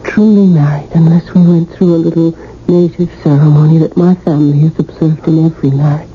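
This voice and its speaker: An actress playing a coaxing, secretive young bride in an old-time radio drama, soft and breathy in delivery. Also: female voice, low voice, steady tone, fairly quick, lightly acted. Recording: muffled, noisy vintage radio broadcast.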